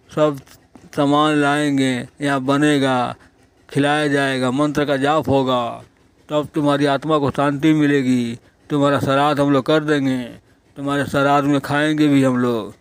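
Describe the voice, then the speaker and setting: Man playing a slow-talking, wary village priest. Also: medium pitch, smooth, no echo, natural voice. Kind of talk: Hindi cartoon story voice acting.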